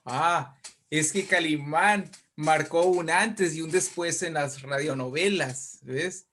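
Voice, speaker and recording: A Mexican man with a fairly high voice talks as a guest in an interview. He explains things at length and with emphasis, in a conversational way, often tagging phrases with little checks to the listener. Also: takes it slowly, fairly bright tone, a little hiss or hum. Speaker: invited guest, writer and poet.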